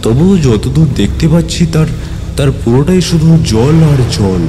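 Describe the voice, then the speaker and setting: A man narrating a ghost story in the first person, in a dramatic, suspenseful way with deliberate pauses. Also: medium pitch, lively intonation, background noise. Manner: suspenseful, theatrical, with deliberate pauses and repeated words. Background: music.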